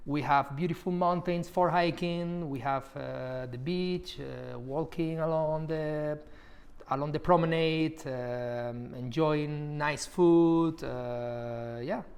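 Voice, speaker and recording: A young man from Málaga with a Spanish accent, answering interview questions in English with thoughtful, hesitant pauses. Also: on the high side, vocal fry, darkish tone. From Málaga, Spain. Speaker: property specialist at an agency.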